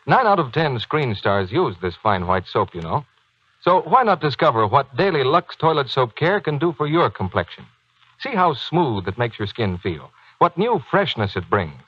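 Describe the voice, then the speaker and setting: A man announcing a commercial in a polished, persuasive 1940s radio advertising style with a slightly husky voice. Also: medium pitch, very animated, normal speed, dry recording. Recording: dull 1940s radio broadcast recording.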